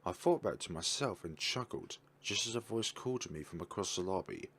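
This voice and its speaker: Man, very low voice, reading a visual novel aloud, self-corrections, casual asides. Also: expressive, brisk, fairly bright tone, breathy edge. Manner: stumbling reads with self-corrections.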